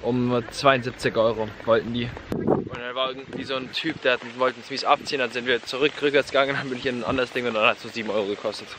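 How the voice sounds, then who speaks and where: Junger Mann vloggt locker, laute Umgebung. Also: male voice, medium pitch, steady tone, quick pace. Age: young adult. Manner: loose slangy chatter, narrating on the move. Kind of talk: casual travel vlog chat. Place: outdoors and in a car on a road trip. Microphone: handheld camera at arm's length. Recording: loud wind and traffic noise outdoors.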